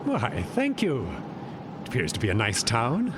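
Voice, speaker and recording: An actor playing an amused, urbane Greek god posing as a stranger in an audio drama, drawing out words in a coy, theatrical way. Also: male voice, huge pitch swings. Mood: coy, polite. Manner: theatrical, drawn-out, coy delivery. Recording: loud outdoor ambience and rumble.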